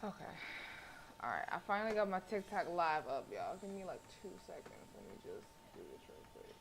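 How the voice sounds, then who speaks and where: A woman game streamer with a fairly low voice. She talks casually and sassily, slipping between slangy commentary and reading aloud. Faint noise sits behind her. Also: big pitch swings, fairly quick. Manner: sassy, slangy.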